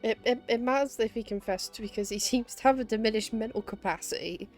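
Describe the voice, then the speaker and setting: A woman's voice: fairly high pitch, a little fast, soft background music. A woman streaming a video game, throwing in casual live commentary.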